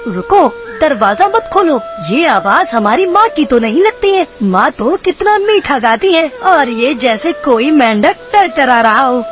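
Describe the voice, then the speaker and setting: A cautious, clever little baby bird from a cartoon story, played by a woman. The childlike voice is high and swings emphatically, with faint music underneath. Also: big pitch swings, unhurried, few pauses. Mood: suspicious.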